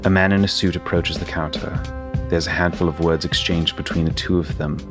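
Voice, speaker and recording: Prominent music sits under a very low voice. A man plays a dry, observant, weary tech expert in a tabletop roleplaying story, talking in a measured and wry way. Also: steady tone, lightly acted. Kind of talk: tabletop roleplay and noir-like scripted narration.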